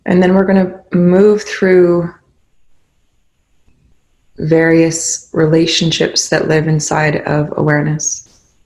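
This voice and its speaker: Slow, even-toned meditation guide. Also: mid-range voice. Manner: gentle instructional phrasing with pauses.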